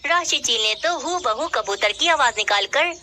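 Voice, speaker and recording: A woman reading scripted narration for a children's animated story. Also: sits high, moderate pace. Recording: clearly noisy, birdsong ambience.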